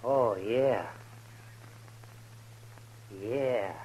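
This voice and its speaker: An actor playing a leering, rough-spoken outlaw henchman in a 1950s radio western, curt and callous. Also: mid-range voice, extremely slow, warm tone. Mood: callous, offhand. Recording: muffled, faintly noisy 1950s radio recording.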